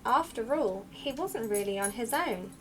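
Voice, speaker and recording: A woman narrates a picture book for children. Her voice is fairly high and moves with lots of animated melody. The recording carries faint background noise. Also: a little slow. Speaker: storyteller reading to children. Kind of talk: expressive children's storybook narration.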